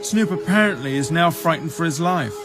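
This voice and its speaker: A man's voice: fairly high pitch, lively intonation, brisk, over music. A man reading a scripted news report as a reporter.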